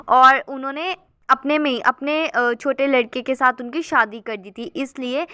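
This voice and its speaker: A woman's voice: high-pitched, bright, forceful tone, clean tone, no room sound. A woman hosting a gossip channel, chatting casually as she reads out viewer comments and keeps addressing her viewers as guys.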